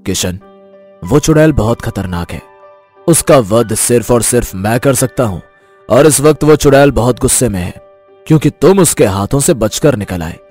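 A man voicing a powerful, stern god who protects his devotees, solemn and authoritative, in an animated devotional story. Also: medium pitch, moderate pace, faint music behind, pitched below the performer's own voice, natural voice. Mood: solemn, reassuring.

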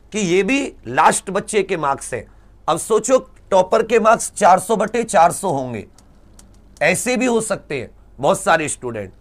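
High-pitched exasperated man teaching. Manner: exasperated, repeats points with rhetorical questions. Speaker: exam coaching teacher. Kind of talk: informal lecture explaining exam cut-offs to students. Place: indoor teaching studio at a large digital board. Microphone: lapel mic.

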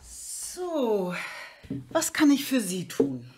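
A woman playing a brisk, eager pharmacist with theatrical sales patter in a short radio play. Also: medium pitch, wildly swooping pitch, a little mellow, slightly rough, exaggerated voice. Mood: helpful, eager. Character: brisk, eager female pharmacist selling laughter. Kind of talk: mini radio play dialogue in German.

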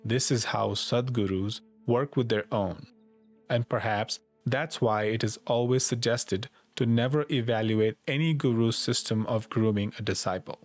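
Man reading narration over soft music. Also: medium pitch, moderate pace. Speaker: disciple, co-narrator. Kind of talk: scripted memoir narration, read aloud. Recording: music behind the voice.

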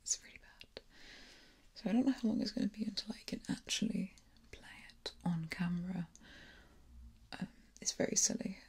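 A young woman in her twenties whispering intimately and softly singing lullabies as an ASMR creator, close on a binaural mic in a small dark room. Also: on the low side, moderate pace, long pauses. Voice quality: breathy.

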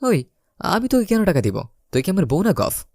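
A man's voice: high-pitched, very animated, moderate pace, a little mellow, dry recording, clean studio sound. A young man narrating a romantic comedy story in the first person, theatrically acting out the lines. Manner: theatrical, acts out his lines.

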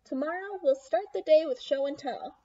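A woman's voice: high-pitched, moderate pace, warm tone, dull audio. A woman voicing a stern, exasperated male schoolteacher in a storybook, clipped and scolding. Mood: firm.

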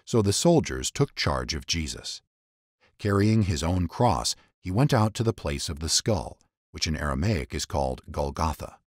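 A man narrating scripture dramatically, in a solemn and deliberate storytelling manner. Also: extremely low pitch, husky, no room sound, clean studio sound.

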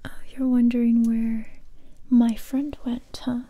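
A determined young female survivor, acted out by a woman questions a captive in an ASMR roleplay. The voice is a soft, breathy whisper, very mellow and unhurried. Each phrase is deliberate and softly stern, delivered close to the mic. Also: on the high side, flat delivery. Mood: hushed, matter-of-fact. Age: young adult. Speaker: ASMR performer. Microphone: very close microphone.

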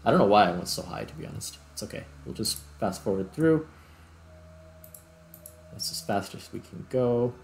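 Low-pitched man rambling through gameplay. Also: lively intonation, normal speed, gravelly, long pauses, soft background music. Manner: rambling, thinking aloud. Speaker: gaming video host. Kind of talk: casual gameplay commentary.

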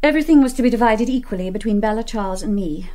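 A woman's voice: on the high side, animated, racing, mellow, natural voice. An actress playing a spoiled, brazen young English society woman in a radio play, with a British accent and a cool, clipped, imperious manner. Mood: brazen, worldly.

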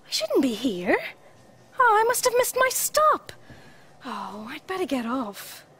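A woman playing a friendly, excitable children's show presenter, talking in simple phrases with exaggerated expression. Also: high voice, unhurried, heavily acted. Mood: flustered.

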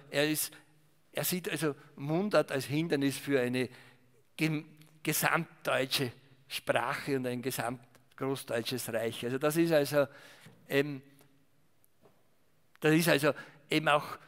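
An older man speaks as a historian at a salon event, his phrasing loose and full of restarts. His voice sits at an ordinary pitch. A long reverb from the hall trails behind every phrase. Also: fairly even intonation, takes it slowly, long pauses, a bit bright. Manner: rambling, many fillers and restarts. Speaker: historian giving a talk. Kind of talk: discursive public talk with slides. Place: salon event room with small audience.

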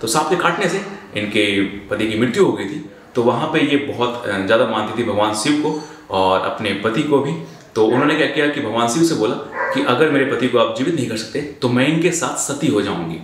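A man in his twenties explaining a story informally to camera, halting as he searches for words and mixes in English. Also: medium pitch, lively intonation. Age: 20s. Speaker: vlogger. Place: small bare indoor room. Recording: very echoey room, faint background noise.

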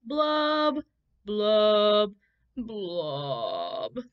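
A woman's voice: high voice, expressive, slow pace, highs cut off, voice pushed up. A woman voicing a gloomy, sulky male fish, chanting his lines in a sing-song rhyme.

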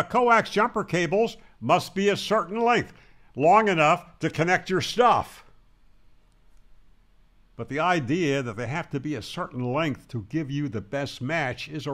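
A man's voice: on the high side, expressive, unhurried, fairly bright tone. An American man in his sixties explaining to camera in a conversational, emphatic and wry way, close on the mic. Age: 60s. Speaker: host of a ham radio tutorial channel. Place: small home room with guitars, indoors. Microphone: close broadcast mic on a boom.

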